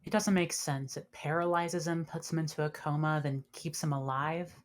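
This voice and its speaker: A woman's voice: low-pitched, fairly even intonation, normal speed, lightly acted. A woman playing a questioning, no-nonsense spaceship officer in a sci-fi script read. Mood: puzzled.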